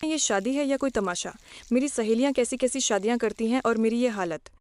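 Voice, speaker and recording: A woman's voice: on the high side, a little fast, a little mellow, no room sound, natural voice. A woman playing a spoiled young rich bride in a cartoon moral story, indignant, whiny and disdainful. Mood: complaining, disdainful.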